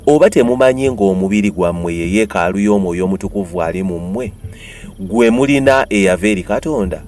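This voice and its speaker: Deep-voiced Ugandan man reading scripture, animated. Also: a little fast. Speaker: Bible reader.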